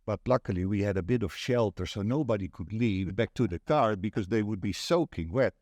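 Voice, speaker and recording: A mature man with a Dutch accent and a very low voice, recorded close and dry. He is an event organiser being interviewed, recounting things in a measured, deliberate way. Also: darkish tone, no echo. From Netherlands.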